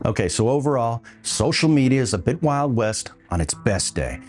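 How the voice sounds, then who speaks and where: A man's voice: medium pitch, lively intonation, normal speed, darkish tone, faint music behind. A man hosting a podcast, delivering scripted opinion commentary with a wry, dry humour. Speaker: podcast host.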